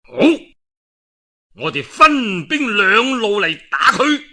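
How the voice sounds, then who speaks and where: Male storyteller as a shrewd ancient Chinese commander, Cantonese, calculating, forceful voice, animated turns of pitch. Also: takes it slowly, exaggerated voice. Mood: scheming, confident. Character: shrewd, confident warlord commander of ancient China.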